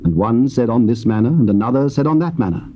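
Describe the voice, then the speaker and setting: A man narrates a Bible story formally, with lively, varied intonation at medium pitch. The sound is a dull old tape with clear hiss. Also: fast talker. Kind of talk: scripted dramatic Bible narration. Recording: muffled, noisy old cassette.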